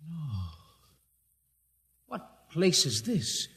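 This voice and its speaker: An actor playing a naive, orthodox young male scientist, earnest and anxious, with halting delivery in an old-time radio drama. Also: fairly high pitch, very animated, vocal fry, sharp tone, breathy edge, heavily acted. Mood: frightened, pleading. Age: young adult. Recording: vintage 1950s radio drama recording.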